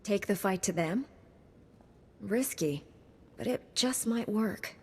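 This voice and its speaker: A woman voicing a composed, resolute knight in a video game cutscene, measured and confident. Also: medium pitch, animated, darkish tone, a little hiss or hum. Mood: cool, wry. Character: composed, resolute young female Jedi knight.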